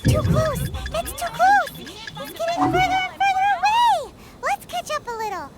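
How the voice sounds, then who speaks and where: A woman voicing a tiny, excitable, bossy fairy companion in a video game, squeaky, childlike and exclamatory. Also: a little slow, exaggerated voice. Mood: urgent. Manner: urgent, exclamatory. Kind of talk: cartoonish video game acting. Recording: loud game music behind the voice.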